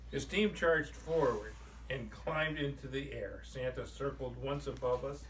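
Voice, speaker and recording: A man's voice: mid-range voice, a little slow, fairly bright tone. A man reading a children's picture book aloud to family in an informal, amateur way. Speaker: amateur storybook reader. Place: room with listeners, mic at a distance. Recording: distant microphone, noisy room.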